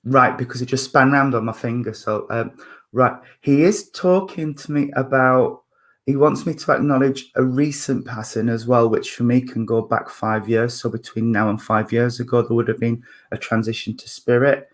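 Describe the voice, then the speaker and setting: A man in his fifties with a husky voice, giving a spiritualist mediumship reading in a halting way, with many fillers and restarts as he thinks aloud. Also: medium pitch, fairly even intonation. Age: 50s.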